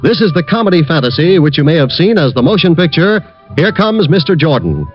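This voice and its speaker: A male announcer reads the credits in a smooth, formal delivery. His voice is fairly high, with a lively, sweeping lilt, and the old broadcast sounds muffled with faint music underneath. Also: fairly quick. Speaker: radio announcer. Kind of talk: scripted announcement. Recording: muffled vintage radio broadcast.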